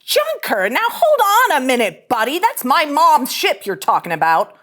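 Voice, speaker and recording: A woman's voice: high-pitched, wildly swooping pitch, normal speed, fairly bright tone, exaggerated voice. A woman at a table read playing a brash, fearless starship officer with a sarcastic, slangy manner. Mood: indignant. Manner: sardonic, slangy.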